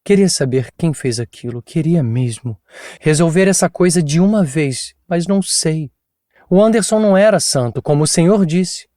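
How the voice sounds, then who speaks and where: A man's voice: fairly high pitch, animated, moderate pace, warm tone, dry recording, studio quality, lightly acted. A man voicing an exhausted, traumatized woman as she recounts her abduction in a tense, pleading, weary way.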